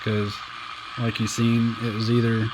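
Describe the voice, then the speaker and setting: Folksy guy doing a casual gadget review indoors, slow halting talk, pretty noisy audio. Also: mid-range voice, completely flat delivery. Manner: plainspoken, folksy, halting phrasing. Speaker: amateur radio hobbyist reviewer. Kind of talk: casual hands-on product review.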